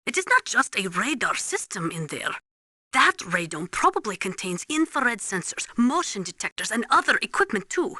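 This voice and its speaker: An actress playing a serious military weapons analyst in a video game, speaking in a precise, matter-of-fact way. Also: female voice, a little high, big pitch swings, normal speed, pushed and bright, no echo, studio quality, exaggerated voice. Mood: expert, explanatory.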